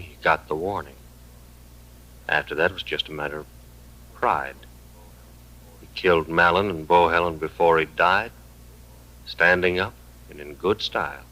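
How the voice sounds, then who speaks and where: An actor playing a sober, thoughtful American frontier man in an old radio western, measured and reflective. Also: low-pitched, bright, forceful tone, low-quality recording. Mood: somber. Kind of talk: 1950s radio western acting.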